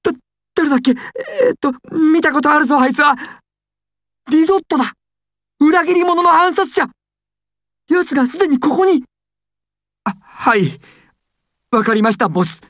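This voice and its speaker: Young male anime gangster: high-pitched, jittery, stammering, servile but explosive, dry close recording. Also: sing-song, moderate pace, long pauses, dry recording. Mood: anxious, alert. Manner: stammering, swings from timid to explosive. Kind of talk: anime and game dramatic acting.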